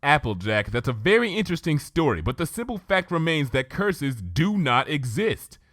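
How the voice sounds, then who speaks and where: Man voicing a skeptical bookish mare, very animated. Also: a little high, moderate pace, no echo, studio quality. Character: young female bookish pony, skeptical and exasperated.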